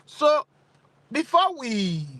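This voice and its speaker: Animated Nigerian man, bright and dry. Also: very high voice, very slow, dry recording. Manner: animated, rhetorical questions, slips into Pidgin. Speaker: sports channel host. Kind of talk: opinionated talk to camera.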